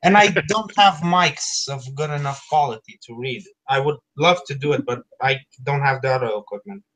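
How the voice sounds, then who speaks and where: A man speaks with a thick Romanian accent and a bright, forward tone. He lays out his points in long explanations during a relaxed group call, pushing back on stereotypes as he goes. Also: mid-range voice, normal speed, poor audio. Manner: explains at length, correcting stereotypes. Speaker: panel guest. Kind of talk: casual group call chat.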